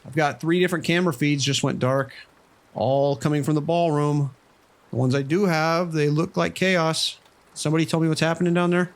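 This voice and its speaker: An actor playing a stressed casino security boss taking charge in a horror audio drama. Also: male voice, a little high, steady tone, normal speed, close to an everyday voice. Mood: tense, commanding.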